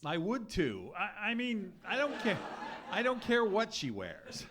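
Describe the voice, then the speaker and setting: A man's voice: sits high, huge pitch swings, unhurried, background noise, full character voice. A man playing a grouchy, sleepy, sarcastic henpecked husband in an old-time radio comedy, grumbling exasperated, dry retorts. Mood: defensive, sleepy. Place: live theatre stage performance.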